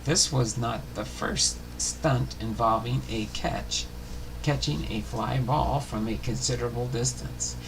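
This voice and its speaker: A man hosting a baseball history series, reading biography notes aloud in a halting way with small stumbles and self-corrections. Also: mid-range voice. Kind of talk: scripted biography narration, read aloud. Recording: clearly noisy home recording.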